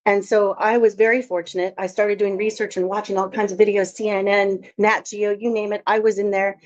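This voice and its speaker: A woman's voice: mid-range voice, level tone, a little fast. A woman from Alaska giving a conference talk as a filmmaker, telling her story in a chatty, anecdotal way. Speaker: filmmaker presenter. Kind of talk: conference presentation. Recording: dull lo-fi audio.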